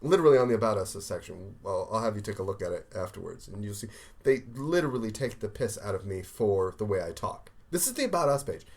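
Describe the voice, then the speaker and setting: A man with an American accent, a podcast co-host telling stories in casual chat, with quick asides and frequent restarts. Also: on the low side, expressive, a little mellow.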